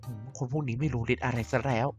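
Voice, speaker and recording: A woman's voice: a bass voice, steady tone, rapid-fire, a little mellow. A woman voicing a vain, mischievous female vampire queen in a Minecraft cartoon, talking in a theatrical, self-important way over faint music. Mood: indignant. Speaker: narrating YouTuber doing all voices. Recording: slightly dull with faint music.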